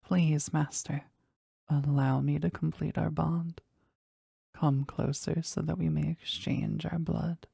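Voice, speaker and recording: Slow, husky, gravelly demon lover, voiced by an actor. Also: mid-range voice, flat delivery, warm tone, no echo, clean studio sound, heavily acted. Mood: hesitant, astonished, eager. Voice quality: husky, breathy, often dropping to a whisper. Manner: formal, slow, tender, halting with emotion. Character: powerful demon bound to a sorcerer, devoted, lovestruck, formal. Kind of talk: intimate romantic audio roleplay.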